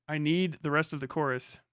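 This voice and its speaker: A man's voice: a little high, normal speed, vocal fry, dry recording, clean studio sound. A man chatting casually on a livestream, muttering to himself in slangy, profane language. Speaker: live streamer. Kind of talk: casual livestream chat with bursts of singing.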